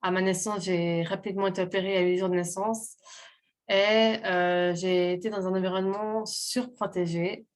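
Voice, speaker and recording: A woman from Portugal, a guest, sharing a sincere personal testimony while pausing and searching for her words. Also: medium pitch, steady tone.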